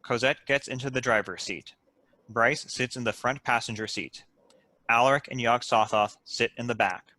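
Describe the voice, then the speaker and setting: A man's voice: mid-range voice, normal speed, pushed and bright, no echo. A man reading out stage directions as the narrator of a scripted table read.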